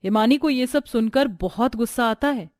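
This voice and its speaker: High-voiced woman narrating a family story. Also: normal speed, a little mellow, dry recording, studio quality. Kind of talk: scripted narration of a Hindi family drama story.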